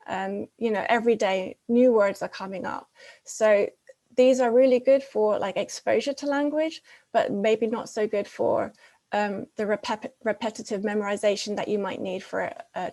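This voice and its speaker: A woman speaking at a conference, her voice at an ordinary middle pitch. She explains things step by step and often stops to search for a word or start a phrase again. Her first language is English. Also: a little mellow. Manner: frequent fillers and restarts, explanatory. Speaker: conference presenter. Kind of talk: academic conference presentation.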